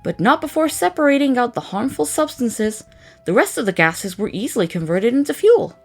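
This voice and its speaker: A woman plays an eager young crew member in a cartoon space adventure. The voice is fairly high and lively, swinging in pitch. Delivery is earnest and theatrical over faint music. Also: normal speed. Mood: upbeat, explaining. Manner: expressive, theatrical phrasing. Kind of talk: fan comic dub voice acting.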